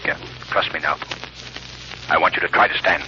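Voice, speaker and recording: An actor playing a calm, commanding unseen rescuer in a 1930s radio drama, speaking with measured, reassuring authority. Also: male voice, deep voice, close to an everyday voice. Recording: noisy, muffled 1930s radio recording.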